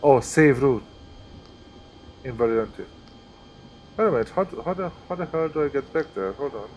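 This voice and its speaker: A man's voice: mid-range voice, lively intonation, normal speed, darkish tone, a little hiss or hum, poor audio. A man with a Hungarian accent, streaming live and commenting casually as he thinks aloud through each step. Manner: chatty, thinks aloud while working through steps. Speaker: flight sim streamer.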